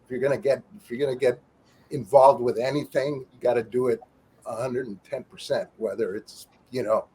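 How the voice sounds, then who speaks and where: A man's voice: mid-range voice, fairly even intonation, a little fast, mellow, slight background noise, rough recording. A middle-aged man, a former wrestler, telling stories as an interview guest with wisecracks. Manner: wisecracking, storytelling, calls people chick. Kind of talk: casual interview chat.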